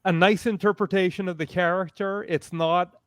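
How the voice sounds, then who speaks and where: A man speaking as a panelist in a casual online discussion, with hesitant, measured phrasing. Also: high-pitched, steady tone, clean tone, no room sound, studio quality. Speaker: podcaster and panelist. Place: online video call.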